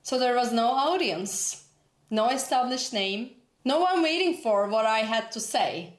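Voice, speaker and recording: Slow, earnest woman with a Turkish accent. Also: medium pitch, reverberant. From Turkey. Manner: earnest, emphatic, repeats for emphasis. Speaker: personal branding coach. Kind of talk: motivational talk to camera.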